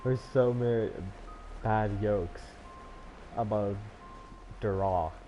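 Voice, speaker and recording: A man streaming a game, chatting casually and thinking out loud in a rambling way. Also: lowish voice, takes it slowly, highs cut off, faint music behind. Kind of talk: casual livestream chat while gaming.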